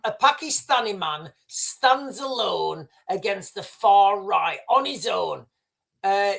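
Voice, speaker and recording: A man's voice: sits high, a bit bright. A man hosting a livestream, giving loose running commentary over video clips and talking straight to his viewers. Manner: rambling, talks straight to viewers as "guys", frequent restarts and "right". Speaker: livestream host.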